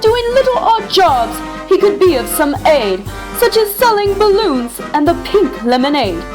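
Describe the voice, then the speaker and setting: A woman's voice: extremely high pitch, big pitch swings, unhurried, over music, exaggerated voice. A woman voicing an eager, boastful young boy from a storybook who talks in grandiose, singsong showman's patter. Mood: boastful, dreamy.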